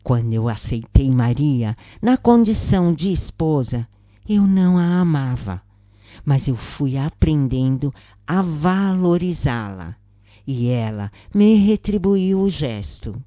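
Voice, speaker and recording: A woman's voice: deep voice, lively intonation, slow pace, dark tone, no echo, studio quality. A woman with a Brazilian accent reading a scripted first-person spiritual account as a narrator.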